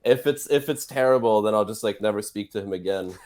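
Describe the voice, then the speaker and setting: A man's voice: on the low side, fast. A man from Norway, a musician and guitar teacher, chatting casually as a guest on a radio show, speaking over the phone. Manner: relaxed, chatty storytelling. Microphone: phone line.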